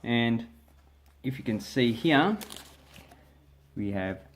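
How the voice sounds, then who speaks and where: An Australian man giving a casual spoken lesson to camera, talking in a relaxed, hesitant way with many fillers and restarts as he shows pages from a book. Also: mid-range voice, slow. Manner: explains by showing pages from a book. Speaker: language vlogger.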